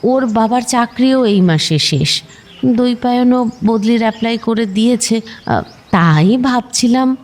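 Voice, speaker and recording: An actress playing a polite but probing middle-aged neighbour woman in an audio drama, speaking haltingly and hesitantly. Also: a little high, lively intonation, darkish tone. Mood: hesitant, tactful.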